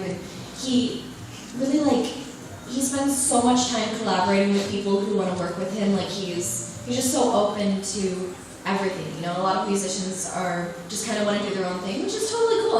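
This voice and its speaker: Giggly young woman with a strained voice, in an echoey hall. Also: medium pitch. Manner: giggly, chatty, lots of "like" fillers. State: losing her voice. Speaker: convention panel guest. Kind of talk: casual audience Q&A. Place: large convention hall. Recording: echoey hall from far off, noisy.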